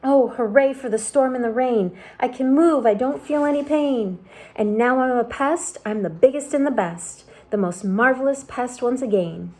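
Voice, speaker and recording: A loud, crowing storybook centipede, boastful and giddy, bouncing high above his narrator's usual pitch, as a woman reads him. His voice is mellow and dark in tone even while he shouts and sings. He is a show-off through and through. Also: normal speed. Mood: gleeful singing. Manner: loud, gleeful, sing-song. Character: boastful excitable male centipede.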